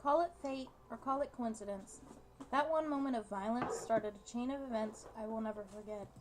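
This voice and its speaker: A woman's voice: on the high side, brisk, darkish tone, slight background noise. A young woman hosting a gaming video, chatting casually and reading game text aloud.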